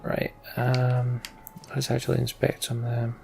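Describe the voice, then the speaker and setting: A man's voice: mid-range voice, monotone, unhurried, creaky, a little mellow, slight background noise. A man giving casual, informal gameplay commentary, half talking to himself with sweary, sardonic asides. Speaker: let's play host.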